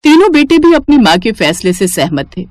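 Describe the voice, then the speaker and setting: A woman's voice: fairly high pitch, big pitch swings, normal speed, no echo. A woman narrating a scripted family moral story.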